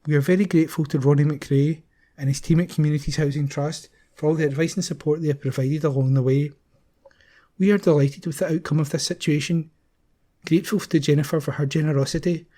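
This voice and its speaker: Man reading news in a mellow voice. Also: fairly high pitch, fairly quick. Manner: steady, careful reading with occasional restarts on words. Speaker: volunteer newspaper reader. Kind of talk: reading newspaper articles aloud.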